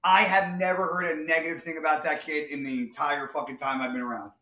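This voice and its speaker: Bright, animated man in his forties. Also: on the high side, quick pace, reverberant. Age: 40s. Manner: blunt, swears freely, animated. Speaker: podcast host. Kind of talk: casual chat.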